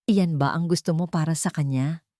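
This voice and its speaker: A woman's voice: low-pitched, normal speed, warm tone, dry recording, studio quality, close to an everyday voice. A woman voicing a cold, proud, wealthy matriarch in an audio drama, speaking with bitter menace. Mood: venomous, bitter. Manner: bitter, threatening, whispering venom.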